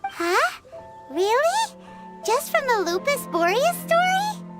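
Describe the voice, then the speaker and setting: A woman voicing a small, excitable childlike fairy companion with a squeaky voice in an anime-style video game. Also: slow, full character voice. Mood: excited, surprised. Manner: exclamatory, expressive, refers to self in third person. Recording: studio voice under prominent background music. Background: game music.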